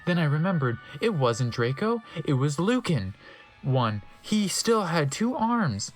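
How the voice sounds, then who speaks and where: A man's voice: fairly high pitch, big pitch swings, measured pace, faint music behind. A man narrating a comedic dramatic reading in a theatrical, mocking way with wry asides.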